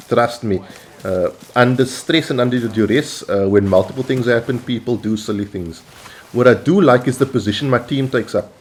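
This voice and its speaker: A South African man hosting his gaming channel, calmly explaining tactics over gameplay with frequent pauses. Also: medium pitch, slight background noise. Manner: measured, instructional, with frequent "um" and "uh" pauses. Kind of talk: gameplay commentary.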